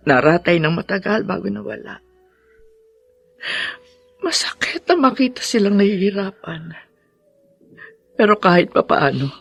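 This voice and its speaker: A woman's voice: on the low side, gravelly, husky, heavily acted. A woman playing a grieving, stubborn middle-aged widowed mother in a Filipino radio drama, with a tearful, emotional delivery and a Filipino accent.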